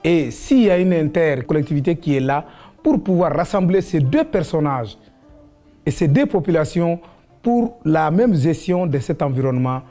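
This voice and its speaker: A man speaking in a documentary interview, explaining things with many pauses and fillers. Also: a little high, very animated, dark tone, soft background music.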